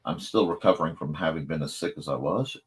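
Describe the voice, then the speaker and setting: A man with a slightly croaky, strained voice chatting casually and goofily, half to himself, as he hosts a drawing stream. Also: extremely low pitch, fast talker, faint music behind. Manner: goofy, rambling, half talking to himself. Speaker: cartoonist hosting a live art show. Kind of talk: casual chat while drawing.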